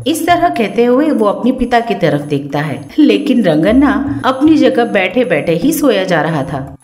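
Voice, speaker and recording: A woman's voice: on the high side, sing-song, a little slow, a little mellow. A woman narrating a scripted story for a cartoon. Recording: roomy echo, music underneath.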